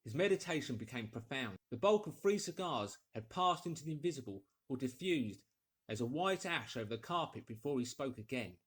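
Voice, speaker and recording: A man's voice: medium pitch, fairly bright tone, husky. A man reading a story aloud as a scripted, dramatic audiobook narrator.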